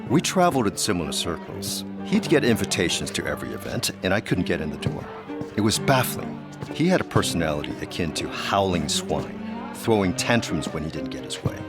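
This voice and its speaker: An actor playing a suave, calculating aristocratic gentleman in a gothic audio drama, speaking in courtly, formal phrases. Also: low-pitched, big pitch swings, a little fast, loud music behind the voice. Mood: wry, reminiscing.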